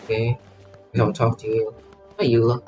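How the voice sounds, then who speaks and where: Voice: medium pitch, level tone, moderate pace, lo-fi sound, over music. A streamer giving casual game commentary, playful and exclamatory, rambling and repeating words.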